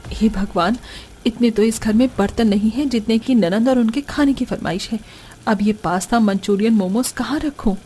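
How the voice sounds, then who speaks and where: Overworked young daughter-in-law, mellow, husky. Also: female voice, on the high side, moderate pace. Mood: flustered. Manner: weary, complaining yet compliant. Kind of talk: Hindi cartoon story dialogue.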